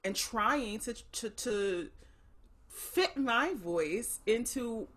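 A middle-aged opera soprano talks on a video call from her living room. She is reflective and unhurried, often breaking off and restarting as she works out what she means. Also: on the high side, animated, fairly bright tone. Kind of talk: casual chat on a video call.

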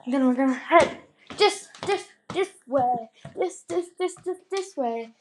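A woman's voice: high-pitched, animated, measured pace, slight background noise. A young girl filming a house tour on a handheld phone indoors at night, rambling and playful with sudden exclamations. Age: child. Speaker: vlogger. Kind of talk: house tour vlog, casual chat. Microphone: handheld phone camera.

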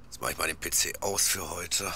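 A man's voice: low voice, fairly quick, bright, forceful tone, slight background noise. Guy muttering slangy stuff to himself in an audio play, super casual. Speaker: rapper playing himself in an audio drama.

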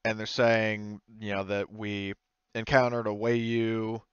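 A man improvising narration as game master of a tabletop game, speaking in a halting, informal way. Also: lowish voice, level tone, clean tone, dry recording, highs cut off. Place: indoors, online game session.

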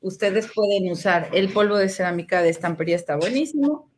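A woman's voice: medium pitch, a little fast, a little hiss or hum. Mexican woman demonstrating a craft. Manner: explains step by step, warm and relaxed.

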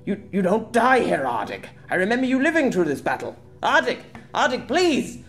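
A man's voice: high-pitched, huge pitch swings, moderate pace, a bit bright, pitched above the performer's own voice, exaggerated voice. A man playing a loyal young swordsman who pleads urgently with a fallen friend. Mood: frantic. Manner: pleading, frantic.

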